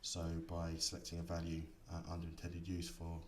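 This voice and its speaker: Low-voiced man explaining software, echoey recording. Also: monotone, a little fast. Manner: explanatory, step by step. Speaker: software instructor. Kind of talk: screen-recorded tutorial explanation. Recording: echoey room, distant mic, faint noise.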